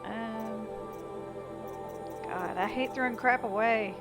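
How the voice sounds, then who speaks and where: Brief: female let's player, slightly high voice, casual rambling commentary, loud game score behind. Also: steady tone, takes it slowly. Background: loud game music and effects.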